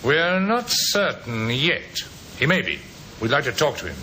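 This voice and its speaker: A man's voice: big pitch swings, measured pace, pushed and bright. A man playing a courteous, persistent police inspector in a radio drama, clipped and probing. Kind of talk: 1950s radio drama acting. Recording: old noisy radio recording with rumble.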